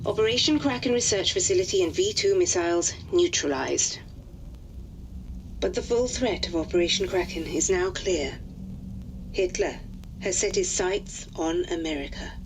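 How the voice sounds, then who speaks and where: An actress as a serious wartime briefing officer with a slightly low voice. She delivers game mission lines in a formal, clipped military way, over clearly audible background noise. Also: moderate pace, close to an everyday voice, low-quality recording. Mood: grave, businesslike.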